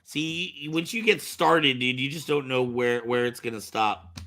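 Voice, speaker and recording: Bright-voiced man in casual podcast chat. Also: medium pitch, unhurried, slight background noise. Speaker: podcast panelist.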